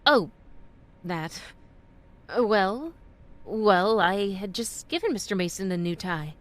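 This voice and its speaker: A woman's voice: medium pitch, lively intonation, slow. An actress playing an earnest young mother in an old-time radio drama, polite and hesitant, easily flustered.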